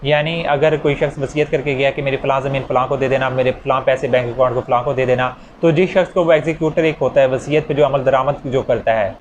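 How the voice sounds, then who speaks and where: A male lecturer with a Pakistani accent and a voice pitched a little high explains things didactically. He restates each point in plainer terms and works through examples. The recording is dull and lo-fi, with faint noise behind him. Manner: didactic, restating key points in simpler words. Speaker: law lecturer.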